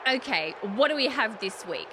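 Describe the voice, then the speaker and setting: An actress playing a smug Australian wrestler and talk show co-host, sarcastic and condescending. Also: female voice, a little high, expressive, normal speed, background noise, close to an everyday voice. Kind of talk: theatrical wrestling promo.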